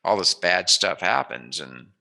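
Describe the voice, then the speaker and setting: A man's voice: deep voice, lively intonation, measured pace, gravelly, pushed and bright. A man hosting a casual interview, talking in an informal, meandering way. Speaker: interviewer, host.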